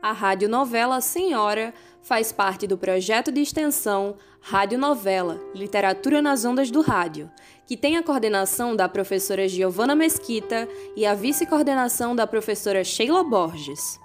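A woman's voice: fairly high pitch, expressive, normal speed, faint music behind. A Brazilian woman reading a scripted radio credits announcement.

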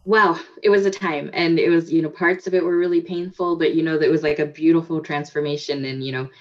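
A woman's voice: low voice, steady tone, a little fast. A woman from the United States, a guest in a casual interview, talking reflectively with many fillers and restarts. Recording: dull lo-fi audio.

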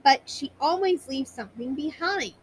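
A woman voicing a proud, friendly young bear in a children's storybook, in a high voice. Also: expressive, moderate pace, a little hiss or hum, low-quality recording. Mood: proud, matter-of-fact. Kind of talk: children's storybook character reading.

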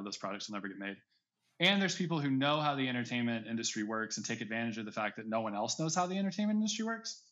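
Man, mid-pitched voice, slightly dull lo-fi audio, informal podcast guest, discursive and hedging. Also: a bit bright. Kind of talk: casual conversational interview chat.

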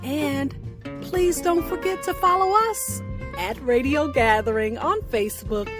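A woman hosting a podcast, reading announcements in a deliberate, carefully enunciated way. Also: high-pitched. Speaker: podcast host. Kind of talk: scripted show outro, announcing. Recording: music bed behind the voice. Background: guitar music.

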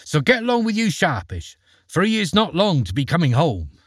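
A bossy, scolding giantess, as a man puts her on for a storybook. Her voice climbs high and swoops widely, with a breathy edge. It sounds close and dry. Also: wildly swooping pitch, moderate pace, a bit bright, no room sound, heavily acted. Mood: urgent. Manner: scolding, tut-tutting. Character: bossy country giantess, ogre's wife.

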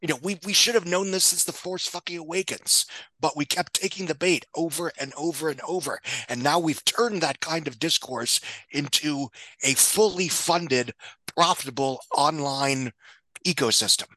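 Bright, forceful man giving blunt commentary. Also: fairly high pitch, moderate pace, no echo, poor audio. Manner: blunt, sweary, sardonic, digressive. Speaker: guest film box office pundit. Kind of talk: opinionated podcast discussion.

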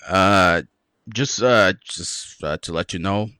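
A man co-hosting a podcast in casual chat, his voice a bit raspy and stuffy from a sore throat. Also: low voice, slow, no echo. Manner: relaxed, laid-back, many fillers. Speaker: podcast co-host.

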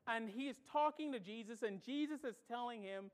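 A woman from the Bahamas preaching a sermon, emphatic and animated, often firing rhetorical questions at her congregation. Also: a little high, fairly quick.

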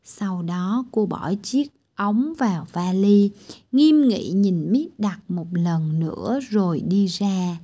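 A woman narrating a children's fairy tale, reading slowly and clearly. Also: medium pitch, mellow. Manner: slow, clear storytelling. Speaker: storyteller.

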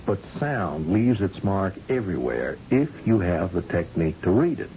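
An actor playing a commanding, folksy governor of a space colony who questions shrewdly, in an old-time radio drama. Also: male voice, low-pitched, sing-song, normal speed, dark tone. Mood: pressing, shrewd. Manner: folksy, probing, patronizing. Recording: dull, noisy old radio recording.

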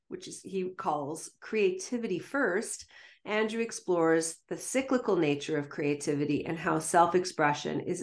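A woman hosting an interview show, enthusiastic and full of praise for her guest. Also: fairly low pitch. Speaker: podcast host.

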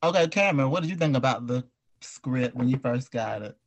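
A man's voice: mid-range voice, expressive, a little fast. A man hosting a casual group interview, putting questions to his guests. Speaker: podcast host and audio drama creator.

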